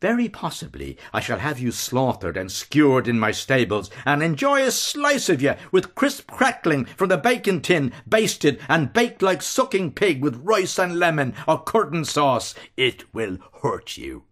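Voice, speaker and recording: Brutal blustering brothel keeper, coarse and bullying, a man voices him, very lively delivery. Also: fairly high pitch, measured pace, few pauses, pitched above the performer's own voice, heavily acted. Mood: gloating menace.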